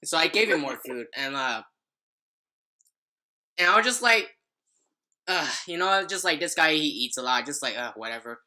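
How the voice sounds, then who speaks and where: Young woman podcaster, low bright voice, rambly casual storytelling, trips over words. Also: lively intonation, moderate pace, pauses often. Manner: rambling, filler-heavy, stumbling over words. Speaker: podcast co-host telling a personal story.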